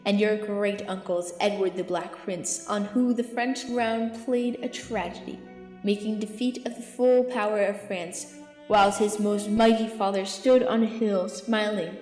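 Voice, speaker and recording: Zealous archbishop played by a woman, mid-pitched, declamatory Shakespearean verse, echoing hall. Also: dark tone, close to an everyday voice. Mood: rousing, zealous. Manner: declamatory, rhetorical. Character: eloquent, zealous archbishop counselling a king. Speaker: amateur actor in student audio drama. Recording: echoey hall reverb, music underneath.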